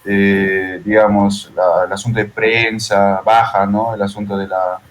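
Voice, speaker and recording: A Peruvian man speaks in a fairly low voice as a guest in a casual interview. He is reflective and often seeks agreement as he goes. There is faint noise behind him on the live-stream audio. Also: flat delivery, a little slow. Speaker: interview guest, poet and translator.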